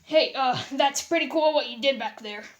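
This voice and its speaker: A woman's voice: high voice, normal speed, muffled recording, lightly acted. A woman voicing an easygoing, joking male skeleton in a fan story read-aloud. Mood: laid-back.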